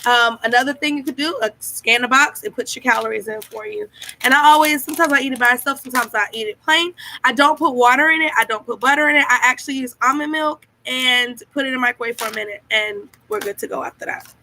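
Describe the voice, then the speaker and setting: Young woman, high-pitched, bright tone, chatty, talking to webcam at home. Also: quick pace, vocal fry, slight background noise, poor audio. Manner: chatty and enthusiastic, talks directly to viewers. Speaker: vlogger. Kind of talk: casual diet vlog, showing food to camera. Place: small room at home, indoors. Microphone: built-in camera mic, webcam at close range.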